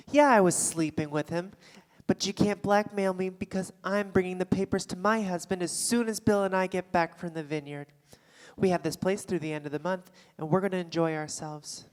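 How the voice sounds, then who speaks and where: A woman's voice: on the low side, lightly acted. An actress playing a defensive, rattled woman with a secret affair in a live staged play reading. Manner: indignant, sarcastic, stammering when rattled. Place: theatre stage before a live audience. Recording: live theatre stage, long hall reverb.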